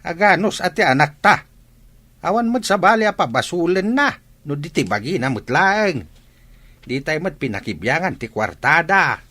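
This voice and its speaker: A man's voice: fairly high pitch, very animated, normal speed, pushed and bright, heavily acted. A man with an Ilocano Filipino accent playing a blunt, grumbling village husband in a radio drama. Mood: resigned, blunt.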